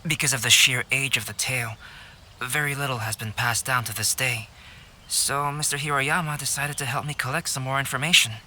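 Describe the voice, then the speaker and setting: A calm, measured young scholarly painter. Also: male voice, mid-range voice, a little hiss or hum. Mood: calm, explanatory. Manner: measured, articulate, unhurried. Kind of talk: English game voice acting.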